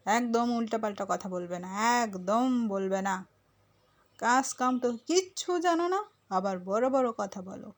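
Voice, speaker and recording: A woman voicing a bossy, ageing mother-in-law in a cartoon, nagging with sharp questions. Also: a little high, animated, a little slow. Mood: scolding.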